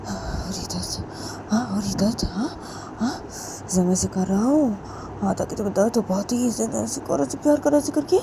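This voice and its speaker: A woman's voice: a little high, fairly quick, creaky, noisy background, pitched above the performer's own voice. A woman voicing a domineering young woman who speaks in mocking, demanding questions. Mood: insistent.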